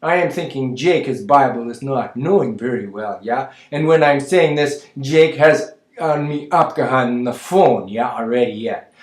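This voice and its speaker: A man voicing a gossipy older Mennonite woman from rural Manitoba with a Low German accent, who rambles in scrambled word order and keeps adding "yeah?". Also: animated, normal speed, close to an everyday voice. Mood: rambling. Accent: Low German Mennonite English. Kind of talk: comic character monologue. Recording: roomy echo.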